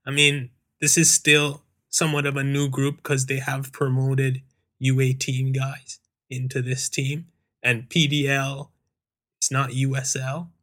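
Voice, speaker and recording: A man hosting a soccer discussion, giving measured, hedging analysis. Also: mid-range voice, level tone, pushed and bright. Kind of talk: casual chat.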